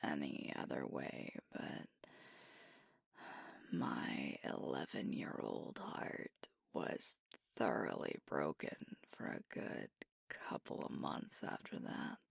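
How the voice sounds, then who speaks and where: A woman voicing a sweet, tender werewolf girlfriend in an ASMR roleplay, speaking in a soft, breathy whisper with gentle, intimate storytelling. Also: extremely low pitch, takes it slowly, no echo, studio quality, exaggerated voice. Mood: tender nostalgic.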